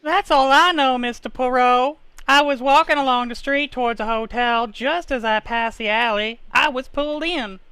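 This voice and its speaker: An earnest young lady from a radio mystery, played by a woman, with a bright, forceful and very smooth voice. She recounts things plainly, recorded close and dry. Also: high voice, normal speed, hardly any pauses, no echo. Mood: earnest, shaken. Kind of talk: live reading of old-time radio mystery script.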